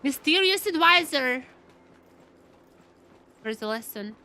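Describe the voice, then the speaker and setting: High-voiced, bright woman streamer. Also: slow pace. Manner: chatty, excitable, repeats phrases. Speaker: video game streamer. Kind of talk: casual live gameplay commentary with reactions. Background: game music.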